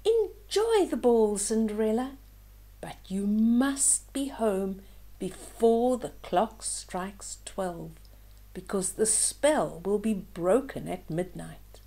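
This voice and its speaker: Casting: kindly magical godmother for a storybook, woman reader, mellow, unhurried, gently instructive. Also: mid-range voice, lively intonation. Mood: kindly warning. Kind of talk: children's storybook read-aloud.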